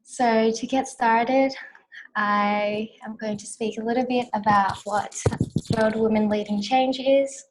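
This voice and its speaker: A woman's voice: fairly high pitch, slow pace, a little hiss or hum, low-quality recording. A young woman from Australia in her twenties, hosting an online conference on a video call from a small room, guiding a breathing exercise and welcoming everyone. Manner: calm, soft counting, warm welcoming. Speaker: conference host. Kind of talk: guided breathing, then welcoming talk. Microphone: video call webcam.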